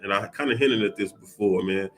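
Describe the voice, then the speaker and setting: A man hosting a casual podcast chat, talking in a relaxed, loose way with frequent restarts and filler phrases. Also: fairly low pitch, brisk. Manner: laid-back, many restarts and "you know what I'm saying". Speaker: podcast host.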